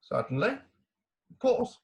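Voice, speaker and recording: An actor playing a bluff, gallant army colonel in disgrace, husky, with an old-fashioned gentlemanly manner, in a staged farce. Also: male voice, slow pace, natural voice.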